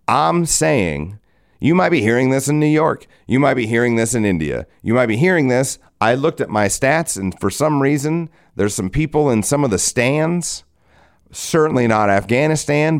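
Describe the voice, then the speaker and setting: A man in his forties hosting a solo podcast, hoarse from a head cold, rambling and cursing with lots of restarts. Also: on the low side. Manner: rambling, profane, self-mocking, frequent restarts. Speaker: podcast host. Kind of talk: solo casual podcast talk.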